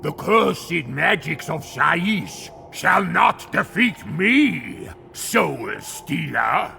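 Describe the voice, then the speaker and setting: An actor voicing a gleefully devout, sinister plague sorcerer in a fantasy game, savouring archaic words in a gravelly, theatrical voice. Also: male voice, fairly high pitch, big pitch swings, drawn-out words, over music, full character voice. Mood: defiant. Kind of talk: fantasy game villain acting.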